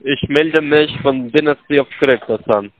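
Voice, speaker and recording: A man's voice: fairly high pitch, measured pace, phone-quality sound, slight background noise. A man calling as an account manager, repeating himself and slipping between formal and informal address. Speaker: scam call-center caller.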